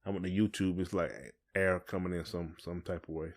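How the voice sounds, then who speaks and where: A man's voice: deep voice, little pitch movement, brisk. An American man co-hosting a podcast, talking in a casual, informal and colloquial way. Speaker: podcast co-host. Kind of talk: casual conversational chat.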